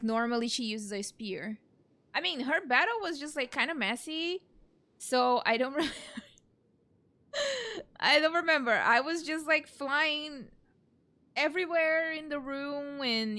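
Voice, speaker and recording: A woman's voice: high voice, sharp tone, smooth. A woman streamer giving casual, rambling reaction commentary while she plays a video game. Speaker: gaming streamer. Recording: game music behind the voice.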